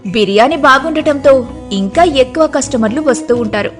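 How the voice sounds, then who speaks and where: A woman's voice: sits high, expressive, moderate pace, faint music behind. A woman narrating a scripted moral story as a storyteller.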